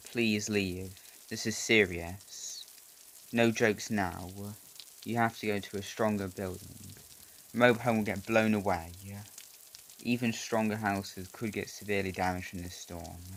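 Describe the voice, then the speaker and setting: A man voicing an emergency weather announcer, urgent and stern, stumbling and restarting as he reads out a warning over rain noise. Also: on the low side.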